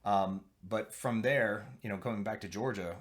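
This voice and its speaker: A man's voice: fairly low pitch, moderate pace. Rambling American man hosting a chat. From United States. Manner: rambling, lots of you-knows. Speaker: host. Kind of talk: casual chat.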